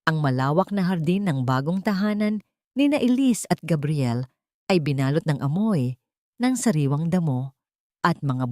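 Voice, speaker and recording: A female narrator with a slightly low voice reads a scripted love story. Her delivery is measured, solemn and full of sentiment. The recording is very dry, with no room sound around the voice. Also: a little mellow, dry recording.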